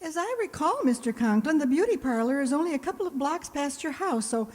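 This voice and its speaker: Woman acting wry, animated schoolteacher in echoey hall. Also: high voice, a little fast. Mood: dry, wry. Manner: dry, arch wisecracking delivery. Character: wry, quick-witted woman schoolteacher, sardonic but polite. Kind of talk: amateur stage-style old radio comedy acting. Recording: echoey hall, long reverb.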